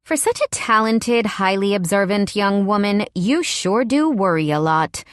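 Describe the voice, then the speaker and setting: A woman voicing a condescending, cold middle-aged woman boss who talks in an oddly flat, patronizing way. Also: medium pitch, measured pace, no room sound, clean studio sound, pitched above the performer's own voice, close to an everyday voice.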